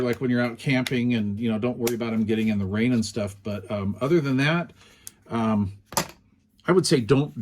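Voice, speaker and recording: A middle-aged man reviewing a small two-way radio in a relaxed, rambling way, with lots of fillers. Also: mid-range voice, a little hiss or hum. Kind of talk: casual product review.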